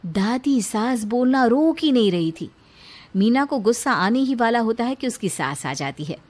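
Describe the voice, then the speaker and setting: High-voiced woman narrating a family story. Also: animated, moderate pace, darkish tone. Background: music.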